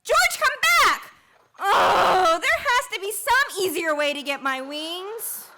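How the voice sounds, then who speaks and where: A woman's voice: extremely high pitch, takes it slowly, very noisy, exaggerated voice. A woman playing an earnest, easily flustered female guardian angel in a radio play. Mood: frantic. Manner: earnest, excitable, hesitant when flustered.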